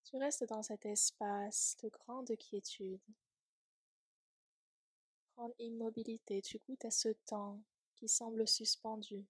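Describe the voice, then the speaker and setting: A woman leading a guided yoga nidra relaxation in a soft, breathy, soothing voice, gently trailing off at times toward a whisper. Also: fairly high pitch, little pitch movement, slow, no echo.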